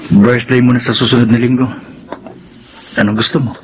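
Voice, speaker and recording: A man's voice: mid-range voice, flat delivery, a little fast, slight background noise, close to an everyday voice. Slightly muffled, kind young Filipino brother, man acting. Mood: gentle, encouraging. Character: kind young son, protective older brother. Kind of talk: Filipino radio drama acting.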